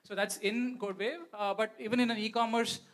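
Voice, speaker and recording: A man with a distinctly high voice and an Indian accent speaks as a guest at a talk. He explains his points off the cuff, pausing and rephrasing as he goes. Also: steady tone. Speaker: guest speaker, company founder. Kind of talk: unscripted question-and-answer on stage.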